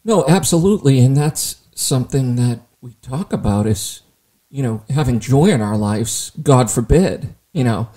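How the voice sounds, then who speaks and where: A man hosting a casual interview, full of wisecracks and quick asides. His voice is mellow and darker in tone, and he sits at a middle pitch for a man. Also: animated. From Ireland. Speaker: podcast host. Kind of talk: joking interview chat.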